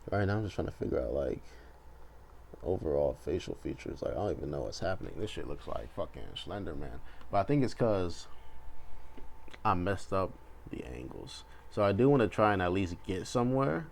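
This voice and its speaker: A man's voice: deep voice, big pitch swings, moderate pace, creaky. A young man chatting casually and thinking aloud as he hosts a video, laid-back and unscripted in a hesitant, rambling way. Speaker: video creator and host. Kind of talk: casual rambling chat while drawing. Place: bedroom at home, indoors. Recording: home recording with faint background noise.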